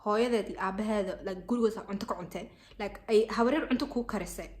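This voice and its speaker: A bubbly young woman with a lilt talks straight to her camera, chatty and full of "like" and "um". Her is Somali, and she sounds relaxed and personal, as if speaking to her followers. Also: medium pitch, quick pace. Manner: bubbly and chatty, many fillers. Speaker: vlogger. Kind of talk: casual chat to camera.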